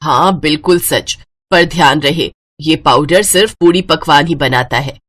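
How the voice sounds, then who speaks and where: Woman voicing a kindly, low-pitched lady magician. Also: unhurried, a bit bright. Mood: earnest, instructive. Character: kindly middle-aged female street magician offering help.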